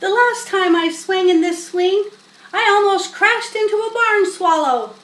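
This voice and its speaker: A woman voicing an excitable, boastful young farm boy in a storybook, yelling and defiant. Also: very high voice, measured pace, reverberant, recorded from a distance, voice pushed up.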